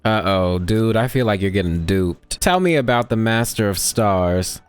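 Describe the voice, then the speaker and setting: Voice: low voice, lively intonation, moderate pace, clear, resonant tone, faint music behind. A game streamer giving casual playthrough commentary in a blunt, sardonic, sweary manner.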